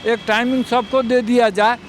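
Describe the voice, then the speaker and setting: A man's voice: extremely high pitch, little pitch movement, normal speed. A man giving his opinion to a reporter on a busy street, earnest, and quoting a couplet to make his point. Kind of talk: street interview. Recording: faint traffic noise.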